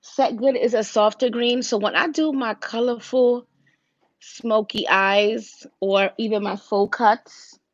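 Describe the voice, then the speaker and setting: A woman with a raspy voice, casually talking viewers through a makeup look on a livestream, step by step. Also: on the high side, steady tone, slow, no room sound, lo-fi sound. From Virginia, US. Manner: chatty, talks viewers through each step. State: raspy, deeper voice than usual.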